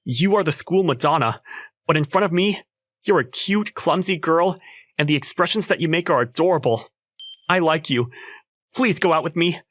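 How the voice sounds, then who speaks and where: A man's voice: a little high, normal speed, fairly bright tone, no room sound, close to an everyday voice. A young man playing a nervous, teasing student in love in a manga dub, talking in a conversational, slightly awkward way. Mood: earnest confession. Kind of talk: manga dub acting with first-person narration.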